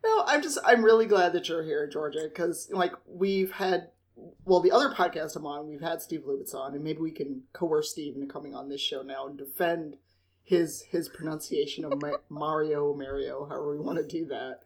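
A woman's voice: sits low, normal speed. A woman from Chicago with a Midwestern accent, co-hosting a podcast and welcoming a guest casually, often trailing off and restarting. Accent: Midwestern American. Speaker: podcast co-host.

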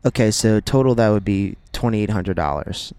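A man's voice: lowish voice, normal speed, a little mellow, clear, resonant tone, no echo. A young adult man co-hosting a podcast, bantering casually with slangy, joking interjections and questions. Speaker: podcast co-host.

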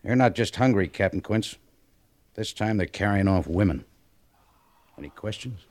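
Man acting a low-voiced sardonic army major. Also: normal speed, a little mellow, no echo, lightly acted. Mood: stern. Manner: clipped, sarcastic, authoritative. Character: stern, sardonic male army major, commanding officer. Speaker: actor in a radio play. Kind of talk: 1950s radio western acting.

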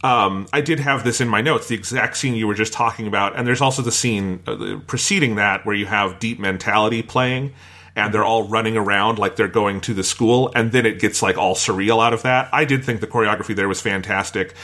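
A man's voice: on the low side, a little fast, pushed and bright. A man talking casually as a podcast co-host, giving opinionated and blunt reviews.

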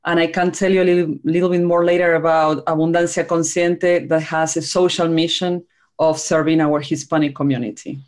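A woman's voice: low voice, flat delivery, fairly quick, a little mellow. A woman from Argentina with an Argentinian Spanish accent, telling her personal story as an interview guest. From small rural town in Argentina. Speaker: podcast guest, business consultant.

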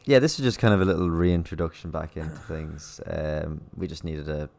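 A man's voice: extremely low pitch, fairly even intonation, a little fast, vocal fry, darkish tone. A man co-hosting a casual podcast, laid-back and agreeable in conversation. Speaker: podcast co-host.

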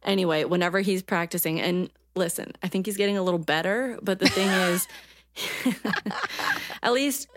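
A woman's voice: lowish voice, moderate pace, no echo. A woman co-hosting a podcast from her apartment over a video call, teasing and rambling through stories. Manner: teasing, chatty, rambling explanations. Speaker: podcast co-host.

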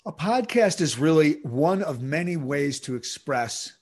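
A man being interviewed, explaining his work in measured, expansive sentences with frequent fillers. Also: a little high, lively intonation, gravelly, darkish tone, slightly rough. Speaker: podcast and audiobook producer. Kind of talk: interview guest, conversational explaining.

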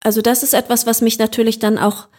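A woman with a fairly high voice speaks as a podcast guest. She talks reflectively and searches for words, often restarting with small fillers. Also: flat delivery, fast talker, darkish tone. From Germany. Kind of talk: reflective interview talk.

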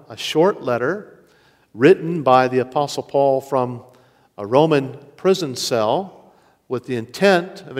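A man preaching a sermon as pastor, earnest, slowing down to stress key words. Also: medium pitch. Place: church sanctuary. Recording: long reverb of a large hall.

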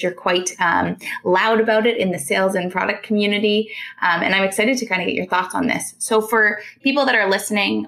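A woman hosting a business podcast, reading a smooth scripted intro and then interviewing a guest. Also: mid-range voice, fairly bright tone. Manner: upbeat, smooth scripted delivery. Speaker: podcast host.

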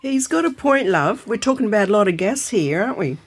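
An actress playing a stubborn, gullible older grandmother in a comic audio drama, husky-voiced and full of indignant questions. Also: mid-range voice, lively intonation, fairly quick. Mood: sceptical, indignant.

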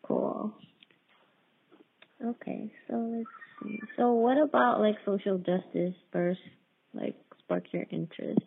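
A woman's voice: mid-range voice, takes it slowly, gravelly, pauses often, slightly rough. A woman hosting an interview in an informal, chatty way, often dropping in "like". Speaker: podcast host, interviewer. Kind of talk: casual conversational interview. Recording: tinny phone-like line, clicks and noise.

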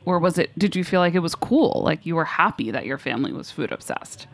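A woman hosts a radio interview. She asks curious questions that she rephrases as she goes. Her voice sits a little low. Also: expressive, fairly quick, gravelly. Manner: curious, loosely phrased questions.

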